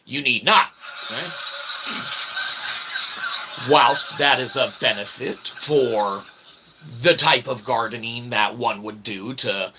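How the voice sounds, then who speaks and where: Guy doing a wordy tongue-in-cheek lecture, really sharp crackly voice, bit of noise behind him. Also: medium pitch, takes it slowly, long pauses. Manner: wordy mock-academic phrasing with frequent "all right, okay" check-ins. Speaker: online philosophy show host. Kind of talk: rambling informal monologue, tongue-in-cheek lecture.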